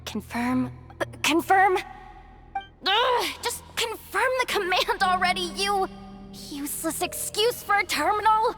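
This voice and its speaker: A woman's voice: very high voice, lively intonation, a little slow, music in the background. A woman playing a feisty, self-reliant young hacker who mutters theatrically to herself in a video game. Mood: exasperated, indignant. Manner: exasperated, theatrical muttering and self pep talk. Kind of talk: animated video game voice acting.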